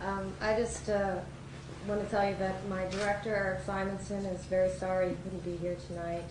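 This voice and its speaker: A woman filmmaker giving informal thank-you remarks as a guest speaker, hesitant and pausing often. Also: medium pitch, level tone. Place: event hall before an audience. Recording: echoey room with background noise.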